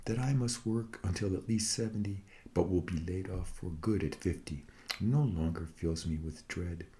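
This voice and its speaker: A man with an extremely low voice recites a scripted monologue. He is solemn and deliberate, with a wry, mock-serene delivery, as if reading out a meditation. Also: darkish tone. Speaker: reader of own satirical prose piece.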